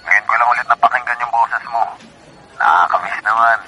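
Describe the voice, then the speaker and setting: A man's voice: medium pitch, fairly quick, background noise. A man with a Filipino accent playing a brazen, persistent former lover, heard through a telephone filter in a radio drama. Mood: longing, insinuating.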